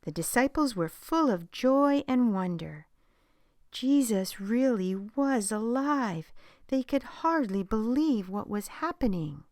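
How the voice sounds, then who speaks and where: A woman reading a Bible story aloud for children, telling it expressively and dropping to a hushed whisper at dramatic moments. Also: a little high, animated, slow pace, no echo, clean studio sound.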